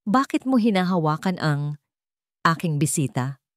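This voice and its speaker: A woman's voice: on the low side, very animated, moderate pace, no echo, clean studio sound. A woman voicing a stern, wealthy male company chairman with a cold, authoritative manner in a narrated drama. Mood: cold anger.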